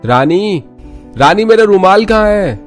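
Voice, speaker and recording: A man voicing a brusque, short-tempered young husband in an animated story, loud and commanding. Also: sits high, measured pace, soft background music. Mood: irritated.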